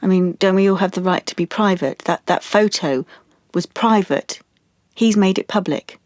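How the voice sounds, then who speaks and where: An actress playing an anxious, wounded young woman in an audio drama, confiding haltingly and stumbling over her words. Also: mid-range voice, a little mellow, no echo, natural voice. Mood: defensive. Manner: halting, confiding, with stammered restarts. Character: anxious, wounded young woman artist, defensive about privacy. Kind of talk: audio drama monologue and dialogue.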